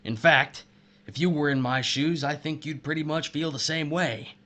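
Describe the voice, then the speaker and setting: A man's voice: on the high side, fairly even intonation, moderate pace, heavy background noise, natural voice. An actor playing a bitter, vengeful missile officer betrayed by his wife, intense and accusatory, in an audio drama. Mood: bitter, accusing.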